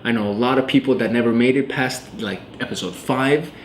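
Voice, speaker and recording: A man's voice: mid-range voice, brisk, a little hiss or hum. A man in his late twenties hosting a show, explaining money topics in a casual, chatty way straight to camera, with lots of fillers. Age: 20s. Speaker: host. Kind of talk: casual educational vlog talk. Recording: roomy echo with faint ambience.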